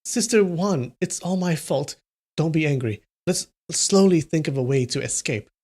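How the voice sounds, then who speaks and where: Guy reading as a soft-spoken young man from a storybook, gentle and soothing. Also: a little high, lively intonation, normal speed. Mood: apologetic. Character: gentle young man consoling his sister.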